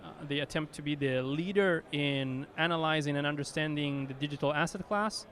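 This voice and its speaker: A man with an Israeli accent speaks in a slightly raised voice, recorded on a handheld mic outdoors in a city. He pauses often, filling gaps and restarting as he explains things. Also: on the high side, fairly bright tone. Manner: hesitant, many fillers and restarts. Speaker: company executive guest. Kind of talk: conference interview.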